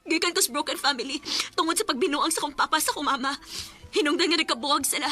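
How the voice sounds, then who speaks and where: A woman's voice: high voice, quick pace, pushed and bright, faint music behind. A woman acting a guilt-ridden young girlfriend in an emotional radio drama, pleading and anguished. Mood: defensive, anguished.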